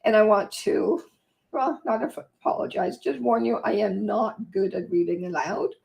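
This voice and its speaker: Croaky Danish-born woman host. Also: medium pitch, darkish tone, poor audio. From Denmark. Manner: chatty, sidetracked, fast-talking. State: hoarse from long talking. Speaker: vlog host and author. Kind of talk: casual livestream chat and reading aloud.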